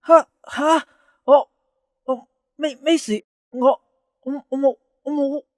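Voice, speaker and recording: Woman playing a shy, higher-voiced teenage schoolboy, Hong Kong Cantonese. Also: steady tone, takes it slowly, no echo, studio quality. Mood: flustered. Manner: stammering, hesitant when flustered. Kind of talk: audio drama acting.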